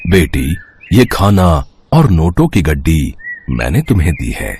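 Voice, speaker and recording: A man's voice: very deep voice, very animated, measured pace, a little mellow, slight background noise, exaggerated voice. A man playing a kindly, fatherly magical tree in a Hindi cartoon story. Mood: kindly, reassuring.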